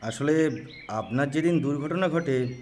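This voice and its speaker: A man voicing an excitable, talkative lawyer who bursts in with big news, loud and cheerful. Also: medium pitch, unhurried, slight background noise, lightly acted. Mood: chatty. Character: excitable middle-aged male lawyer bearing news. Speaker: voice actor in a Bengali audio story. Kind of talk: audio drama acting.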